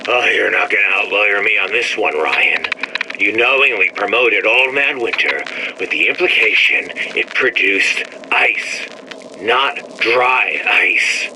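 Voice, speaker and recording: A man's voice: lowish voice, measured pace. An actor playing a bitter, vengeful man who sneers threats at a rival in a game audio log. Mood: sneering. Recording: audio log with steady background noise.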